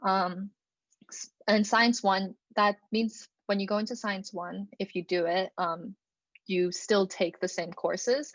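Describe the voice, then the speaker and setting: A young woman speaks on a video call, relaxed and chatty, filling her pauses with "um" and "like". Her voice sits at an ordinary pitch. The audio is dry and a little dull. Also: no echo. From Canada (Alberta). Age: young adult. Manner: casual, many fillers and "like". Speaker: student presenter. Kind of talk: online presentation talk. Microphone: computer mic on a video call.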